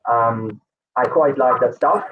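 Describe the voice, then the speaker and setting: A man's voice: mid-range voice, slow pace. Israeli man rambling over a tinny call. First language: Hebrew. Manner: rambling, lots of fillers and restarts. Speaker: interview guest. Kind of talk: casual conversational storytelling. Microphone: video call. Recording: distant, tinny call audio with faint noise.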